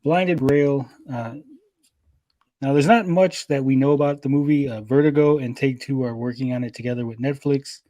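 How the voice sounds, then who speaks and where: A man's voice: mid-range voice, steady tone, moderate pace, mellow, no room sound. A man hosting a podcast in a casual, conversational style. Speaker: podcast host.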